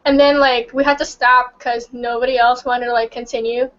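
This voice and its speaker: A woman's voice: a little high, level tone, moderate pace, a bit bright. A young woman from Indonesia whose first language is Indonesian, chatting casually in a study group and filling her talk with "like" and "um". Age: young adult. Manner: chatty, many fillers like "like" and "um". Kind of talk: casual chat. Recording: dull lo-fi recording.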